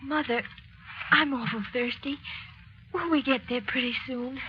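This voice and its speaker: A woman's voice: high-pitched, steady tone, measured pace. A woman playing a frightened twelve-year-old girl with a plaintive, hesitant manner in a 1930s radio drama. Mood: weary, curious. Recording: noisy, muffled old radio broadcast.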